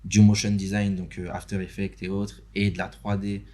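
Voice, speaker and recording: A man's voice: lowish voice, flat delivery, normal speed, dark tone. A young man from Benin speaks as a podcast guest, talking casually and hesitantly, with many fillers and restarts. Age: young adult. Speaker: podcast guest, interview host and motion designer. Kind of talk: casual chat. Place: podcast studio.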